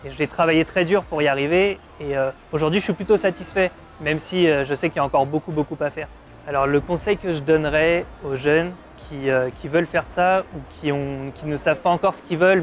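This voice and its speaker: A man in his twenties from Paris giving a casual testimonial, reflective and pausing to search for words. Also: a little high. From Paris, France. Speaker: advertising art director being interviewed.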